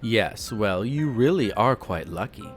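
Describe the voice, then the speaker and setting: A man performs an aristocratic male vampire in a roleplay audio drama, over background music. He draws out his words with theatrical pauses, his pitch sweeping up and down. The manner is formal and condescending, flirtatious yet possessive, like a master addressing his pet. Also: animated. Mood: composed, haughty. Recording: music behind the voice.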